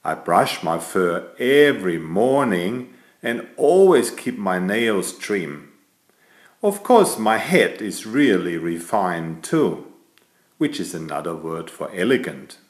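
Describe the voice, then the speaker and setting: A man's voice: low voice, big pitch swings, takes it slowly, echoey room, full character voice. A man voicing a vain, chatty, elegant tomcat who playfully tells a bedtime story to small children. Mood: self-satisfied. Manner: playful, self-satisfied, addressing small children.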